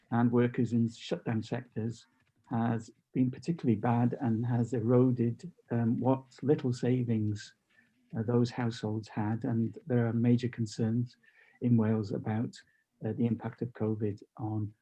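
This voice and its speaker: A middle-aged man hosting an online seminar from a home study over a video call, relaxed and chatty as he introduces the speaker. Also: mid-range voice, breathy edge, muffled recording. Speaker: seminar host.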